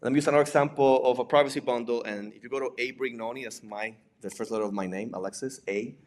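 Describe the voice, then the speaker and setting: A man's voice: medium pitch, fast talker, darkish tone. A man with a Puerto Rican accent, a native Spanish speaker, giving an informal lecture and often checking in with rhetorical questions. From Puerto Rico. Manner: conversational, asks rhetorical questions and tags points with "right?". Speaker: presenter, digital forensics examiner and instructor.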